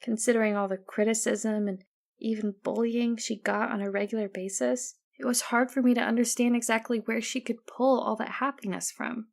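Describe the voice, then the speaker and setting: Female audiobook narrator for first-person fiction, higher register with noticeable vocal fry. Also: fairly even intonation, normal speed.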